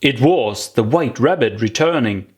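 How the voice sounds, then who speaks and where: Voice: medium pitch, lively intonation, a little slow, slightly rough. An audiobook narrator reading a scripted children's story.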